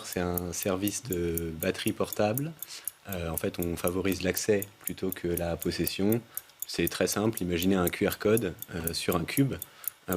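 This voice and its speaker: A man's voice: deep voice, slight background noise. A man with a French accent, a start-up founder explaining his service with many hesitations. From France. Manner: relaxed, explanatory, many fillers.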